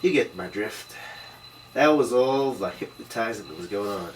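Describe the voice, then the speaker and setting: A man's voice: fairly low pitch, expressive, normal speed, natural voice. A man playing a cynical, hard-drinking noir detective with tough, slangy storytelling in a drama table read. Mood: wry, world-weary. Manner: hardboiled slangy first-person storytelling. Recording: distant microphone, noisy room.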